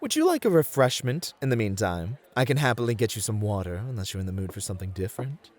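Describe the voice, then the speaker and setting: Man acting a lilting, flirty gentleman club host. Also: fairly low pitch, very animated, quick pace, a little hiss or hum. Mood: flirty, attentive.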